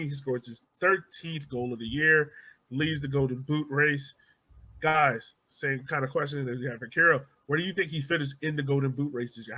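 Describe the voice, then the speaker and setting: A man's voice: on the high side, sharp tone, poor audio. A man hosting a casual sports podcast, talking conversationally and putting questions to his co-hosts. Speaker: podcast host.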